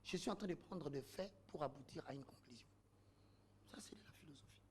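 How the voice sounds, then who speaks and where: A man's voice: fairly high pitch, fairly quick, in a whisper. A man, arguing his point as a guest in a heated panel debate, oratorical and full of rhetorical questions.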